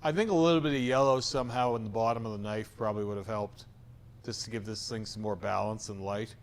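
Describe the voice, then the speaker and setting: A man's voice: fairly low pitch, fairly even intonation, fast. A man with a soothing voice hosting a tattoo reaction show, giving frank, opinionated critiques with casual swearing.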